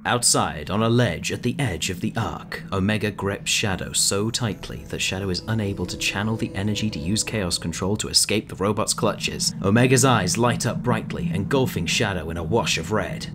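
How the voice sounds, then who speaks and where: A man narrating a fan audio drama in a steady, dramatic storytelling manner. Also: lowish voice, moderate pace, few pauses, noisy background.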